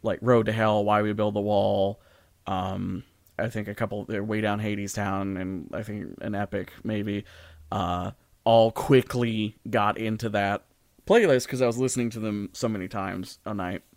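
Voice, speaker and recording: A man's voice: lowish voice, steady tone, normal speed, vocal fry, darkish tone, no echo. A man co-hosting a podcast, talking casually and informally, hesitantly thinking aloud as he goes. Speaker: podcast co-host. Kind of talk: casual discussion of music and theatre.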